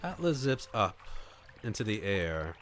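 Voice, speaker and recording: A man streaming an expressive, playful read-aloud of a visual novel, narrating and acting out the dialogue. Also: on the low side, a little slow, slight background noise. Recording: music behind the voice. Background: game music.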